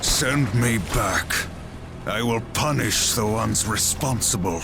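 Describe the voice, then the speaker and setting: A man's voice: fairly low pitch, slow pace, creaky, over music. An actor playing a proud, defiant horseman of the apocalypse in a fantasy game, grim and terse. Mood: grim resolve. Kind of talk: fantasy video game cinematic acting.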